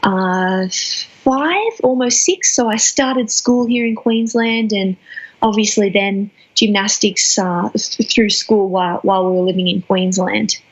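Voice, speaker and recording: A young woman in her twenties answering interview questions thoughtfully, often pausing and restarting phrases. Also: medium pitch, muffled recording. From Australia. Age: 20s. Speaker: guest athlete.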